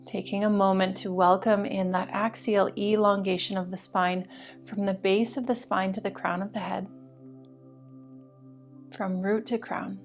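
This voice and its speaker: A woman's voice: mid-range voice, level tone. Soothing woman guiding yoga over music. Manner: soothing, slow and deliberate phrasing. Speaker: yoga teacher. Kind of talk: guided meditation instruction.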